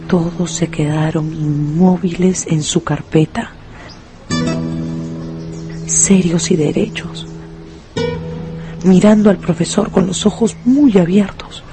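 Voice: medium pitch, steady tone, takes it slowly, pauses often, over music. A narrator reading a scripted, dramatized story for a radio drama.

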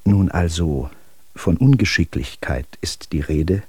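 Low, husky, reproving older-style correspondent. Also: male voice, lively intonation, unhurried, dark tone, dry recording, heavily acted. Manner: formal, admonishing. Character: measured, reproving male friend advising by letter. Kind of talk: dramatic letter reading in a radio play.

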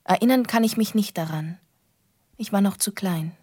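Actress as a breathy, wistful young woman. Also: medium pitch, moderate pace, darkish tone, no room sound, close to an everyday voice. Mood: reflective. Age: young adult. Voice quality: breathy, half-whispered. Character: lonely, wistful young woman recalling her childhood in a castle. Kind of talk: intimate first-person radio drama narration.

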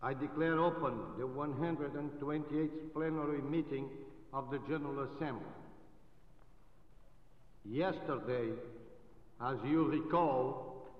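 A man's voice: on the high side, phone-quality sound. Formal man in an old, echoing assembly-hall recording. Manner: formal, halting with fillers. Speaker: assembly chairman and delegate. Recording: archival 1947 broadcast from a large hall, distant.

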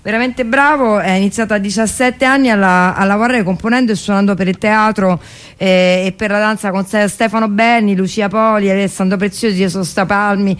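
Casual female radio host, medium pitch. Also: steady tone, fairly quick.

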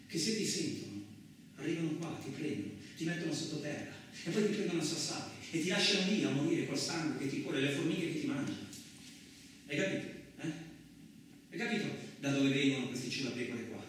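An actor playing a gruff, fed-up truck driver in a rambling, coarse radio-play monologue. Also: male voice, fairly high pitch, close to an everyday voice. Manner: colloquial, rambling, repetitive, coarse interjections. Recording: very echoey, distant, noisy room recording.

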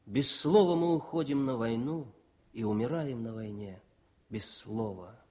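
An actor playing a grieving young soldier-poet in a Soviet radio drama, solemn and declamatory, intense and set on revenge. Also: male voice, mid-range voice, unhurried, mellow, natural voice. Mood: solemn, vengeful.

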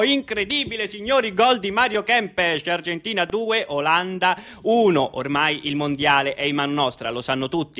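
Partisan Argentine match commentator for radio theatre: forceful, highish voice, dramatic build to each goal. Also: male voice. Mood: excited, triumphant, then subdued, wry. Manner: dramatic, building to drawn-out excited goal calls.

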